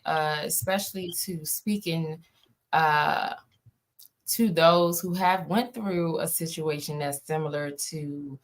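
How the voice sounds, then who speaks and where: Low-voiced woman, casual interview chat. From Washington, D.C. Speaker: playwright and director being interviewed.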